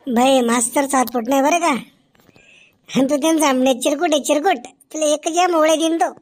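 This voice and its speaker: Woman doing a high-voiced cheeky Khandeshi schoolboy. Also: brisk, a little hiss or hum, close to an everyday voice. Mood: teasing. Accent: Khandeshi Ahirani. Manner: cocky, teasing, argumentative. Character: cheeky, mischievous village schoolboy who talks back to teacher. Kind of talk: comedy cartoon dialogue.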